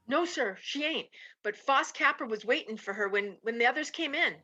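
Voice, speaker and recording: A woman's voice: sits high, lively intonation, moderate pace, bright, forceful tone, dull audio, exaggerated voice. A woman playing a folksy, urgent Western deputy in a radio play. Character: Chester, excitable rustic Western deputy with folksy drawl.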